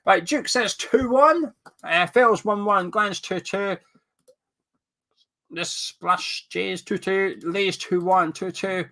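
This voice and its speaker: Scottish man with a bright, blocked-up voice. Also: high-pitched, slow pace. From Scotland. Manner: chatty, banters with chat, drifts off topic. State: sniffly and blocked up with a cold. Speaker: live stream host. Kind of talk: casual live-stream chat reading viewer comments. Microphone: webcam.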